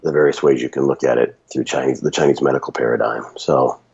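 Deep raspy guy with a cold, rambling podcast chat, kinda muffled recording. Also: steady tone, fast, darkish tone. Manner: rambling, many restarts and fillers. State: sick with a runny nose.

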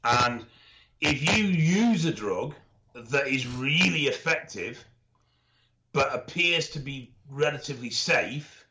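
A man co-hosting a podcast, explaining things in a knowledgeable, measured way with dry humour and frequent ums. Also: medium pitch, expressive, pushed and bright, a little hiss or hum. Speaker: expert co-host. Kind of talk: casual podcast chat, explaining. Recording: dull lo-fi audio.

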